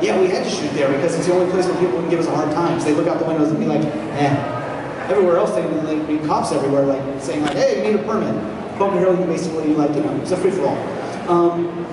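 Sardonic male lecturer in echoey hall. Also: a little high. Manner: animated, sardonic, rambling asides. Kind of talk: public lecture. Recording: echoey hall, distant mic, loud noise.

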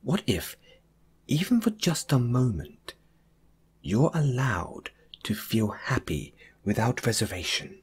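Breathy, hushed man, slow and comforting. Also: mid-range voice, sing-song. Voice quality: breathy, hushed, at times whispering. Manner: gentle, reassuring, intimate. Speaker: audio roleplay performer. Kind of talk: soft comforting audio roleplay monologue.

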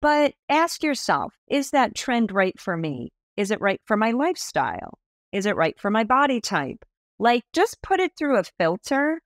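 Animated fifty-something woman on a dry video call. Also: a little high, normal speed, dry recording. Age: 50s. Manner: animated, enthusiastic storytelling with expressive hand gestures. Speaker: guest stylist. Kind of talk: podcast interview over video call. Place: small indoor room.

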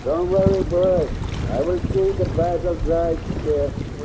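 A man's voice: fairly high pitch, fairly even intonation, moderate pace, lightly acted. An actor playing a thuggish henchman who talks with mock-genteel, stilted wording in an old radio crime drama. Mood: smug. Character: thuggish henchman with mock-polite mangled grammar. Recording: old radio broadcast under noisy rain ambience.

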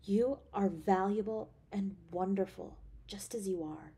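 A woman playing an affectionate, anxious, playfully teasing girlfriend in a romantic audio roleplay, with a tender, expressive delivery. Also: mid-range voice, unhurried, husky. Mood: tender, earnest. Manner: tender, expressive, with sighs and soft chuckles.

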